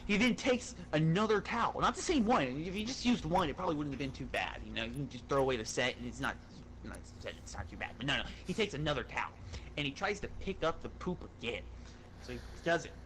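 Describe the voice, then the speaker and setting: A man telling a story in an animated, casual way, swearing and sounding exasperated as he goes. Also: fairly high pitch, slight background noise, poor audio. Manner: profane, exasperated, uses acted-out dialogue.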